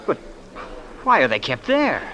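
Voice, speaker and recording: A man's voice: a little high, wildly swooping pitch, unhurried, pushed and bright, lo-fi sound, a little hiss or hum, full character voice. An actor playing an earnest, bashful small-town young man, hesitant and stammering, in old-time radio drama style. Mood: astonished.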